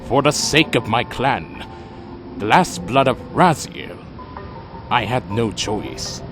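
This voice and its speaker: A man's voice: medium pitch, lively intonation, slow, fairly bright tone. A man playing a proud, defiant vampire clan leader in an audio drama, formal and theatrically intense. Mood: grave, resolute. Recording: dramatic music behind.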